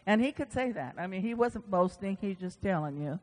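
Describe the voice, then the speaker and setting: A man's voice: high voice, animated, fairly quick. A man preaching an informal sermon, conversational and folksy, repeating phrases for emphasis and moving into scripture reading. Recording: reverberant hall.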